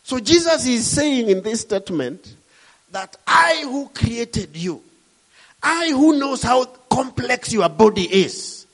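A man's voice: very high-pitched, a bit bright. A middle-aged man from Kenya with a Kenyan accent, preaching a sermon with emphatic, rising and falling delivery.

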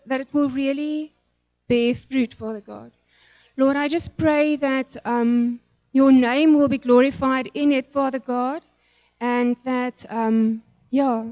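A woman's voice: sits high, a little mellow, dry recording. A middle-aged South African woman whose first language is Afrikaans, giving a nervous, emotional church testimony and prayer with many fillers and restarts.

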